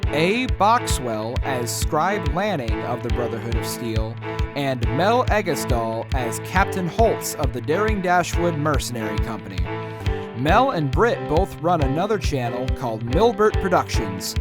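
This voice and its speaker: A man's voice: medium pitch, sing-song, unhurried, loud music behind the voice. A man reading scripted show credits as an announcer.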